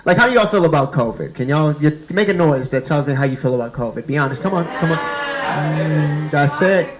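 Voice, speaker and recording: Male comedian, fairly high-pitched, colloquial stand-up delivery peppered with check-in questions. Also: expressive, a crowd in the background. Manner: colloquial, asks the listeners rapid check-in questions.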